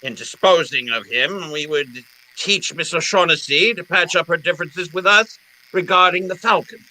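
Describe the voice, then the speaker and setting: An actor playing a genteel, wily criminal mastermind in an old-time radio drama, with florid and courteous phrasing. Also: male voice, a little high, moderate pace, bright, forceful tone, a little hiss or hum, rough recording. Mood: matter-of-fact. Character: genteel, wily older criminal mastermind, falsely jovial and polite.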